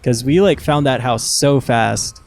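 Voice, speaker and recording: A man musician guesting on a radio show, talking in a relaxed way and laughing easily. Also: medium pitch, unhurried, vocal fry, a little hiss or hum. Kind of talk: casual interview chat.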